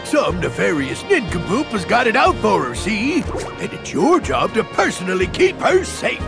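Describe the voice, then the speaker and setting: A big, pompous cartoon bully with an American accent. The gravelly voice drawls slowly and swings up and down as it sneers. Music plays clearly behind this game villain. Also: male voice, high voice, huge pitch swings, full character voice. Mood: mock-pompous. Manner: pompous, sneering, mangles fancy words. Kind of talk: animated game villain acting.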